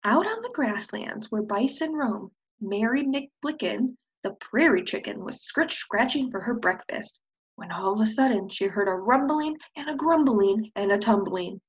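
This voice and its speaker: A woman's voice: a little high, expressive, a little slow, slightly rough. A young woman teacher reading a children's storybook aloud expressively and prompting with questions, recorded on a computer microphone. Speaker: preschool teacher. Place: indoors on a screen-share video call. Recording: slightly dull webcam audio.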